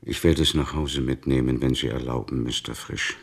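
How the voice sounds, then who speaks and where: An actor in a German radio play, playing a weary, resigned old immigrant man with a gravelly voice and a subdued, reluctant way of speaking. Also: rumbling low, steady tone, moderate pace, exaggerated voice. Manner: subdued, reluctant, plain-spoken.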